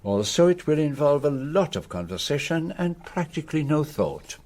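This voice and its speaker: An actor playing a cerebral, self-assured Belgian detective in a radio play, speaking precisely and formally with a French-speaking Belgian accent. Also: male voice, on the high side, very animated, a little mellow. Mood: measured, self-assured. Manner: precise, deliberate, formal phrasing. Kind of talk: radio drama acting.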